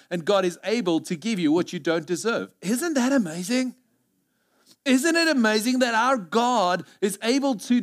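A man in his thirties, a native Afrikaans speaker with a South African accent, preaching earnestly on a church stage through a headset mic, moving between loud emphasis and hushed asides. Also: high voice. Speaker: pastor. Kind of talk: sermon.

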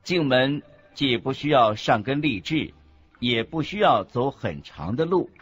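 A man reading scripted religious teaching as a narrator, in a deliberate, instructive manner. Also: mid-range voice, lively intonation, slightly rough. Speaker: narrator of a Buddhist teaching radio program. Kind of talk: scripted didactic narration in a radio program. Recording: muffled lo-fi audio with music underneath. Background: background music.

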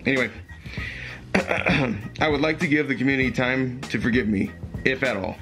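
A young man with a voice going hoarse, speaking earnestly and haltingly with fillers and restarts, recorded close on a webcam in a small room at home. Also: mid-range voice, music in the background, low-quality recording. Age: young adult. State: losing his voice, hoarse. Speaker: commentary YouTuber. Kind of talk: reading aloud and commenting.

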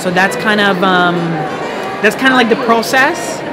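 A man's voice: high-pitched, unhurried, loud music behind the voice. A man in his thirties, born in Kazakhstan, talking casually and earnestly about his art, with many fillers and restarts, filmed close up in a busy indoor fair hall. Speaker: artist. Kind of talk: casual interview. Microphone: handheld camera mic close up. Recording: loud crowd noise of a fair.

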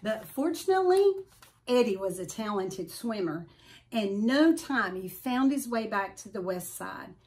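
A middle-aged woman reading a children's story aloud with deliberate, expressive emphasis. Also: medium pitch. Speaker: storybook reader. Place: small furnished room at home.

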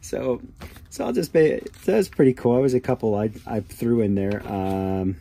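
A man giving a casual how-to demonstration as a hobbyist, talking haltingly and thinking aloud. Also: mid-range voice, sing-song, gravelly, dark tone. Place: small cluttered workshop indoors. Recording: noticeable background noise with clicking keys.

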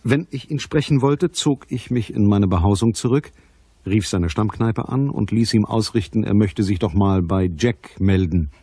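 Hard-boiled detective, radio play, lowish raspy voice, dry, matter-of-fact, commanding. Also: male voice, normal speed, mellow. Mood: wry narration. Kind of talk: German crime radio play, dialogue and narration.

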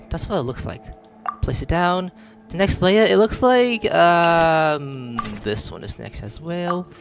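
Guy doing slangy gaming commentary, voice swings all over, game music behind him. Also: a little high. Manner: slangy, exclaiming, muttering to himself. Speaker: streamer playing and commenting on a game. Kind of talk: casual reaction commentary. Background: video game music and dialogue.

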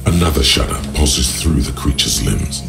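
A man voicing the brooding inner narration of a detective game, gravelly, deliberate and poetic. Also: extremely low pitch, lively intonation, exaggerated voice. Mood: measured, mesmerized. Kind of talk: scripted second-person game narration. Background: music.

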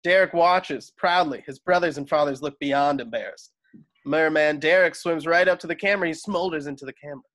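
A man's voice: a little high, brisk, fairly bright tone, poor audio. A man reading screenplay stage directions aloud as the narrator of a home table read. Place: at home on a video call.

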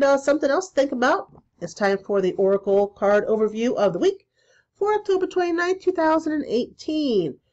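A woman in her forties with a stuffy cold, hosting a casual spiritual podcast from a small room, chatty and full of ums and pauses. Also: medium pitch, a little mellow, highs cut off. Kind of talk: casual solo podcast talk.

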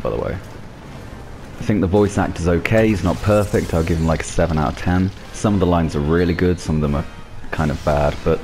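A man with a very deep voice presents a gaming video. He talks informally and jokes around in casual commentary, with game music clearly behind him. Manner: informal, jokey, mildly sweary. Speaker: gaming YouTuber, host.

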